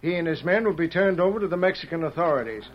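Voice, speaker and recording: An actor playing a respectful, official cavalry trooper in an old radio western, speaking in earnest declarations. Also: male voice, on the high side, lively intonation, fast, close to an everyday voice. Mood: earnest, admiring. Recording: muffled vintage radio recording, faint noise.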